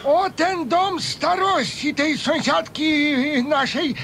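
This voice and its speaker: An actor playing a cranky, stubborn old village man in a radio comedy serial, halting and querulous, with an eastern Polish Podlasie dialect. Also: creaky, sharp tone, exaggerated voice. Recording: faint noise, low rumble.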